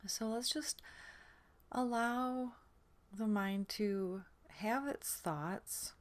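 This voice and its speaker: A woman with a mid-pitched, soft voice leads a sleep meditation. She speaks slowly and gently, leaving room between phrases. Her words often fade into a breathy, soothing near-whisper. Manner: soothing, gentle, unhurried with long pauses. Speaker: guided meditation instructor.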